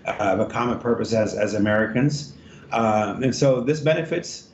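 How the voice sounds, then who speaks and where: Hesitant man on a roomy, dull line. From Ethiopia. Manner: halting, many fillers and restarts. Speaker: congressional candidate guest. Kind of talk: interview answers. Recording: roomy, dull remote line with faint rumble.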